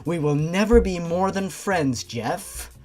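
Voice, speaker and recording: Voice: medium pitch, expressive, slow pace, darkish tone, soft background music. A narrator voicing a feisty young woman who is fed up and scolds in a firm, threatening way.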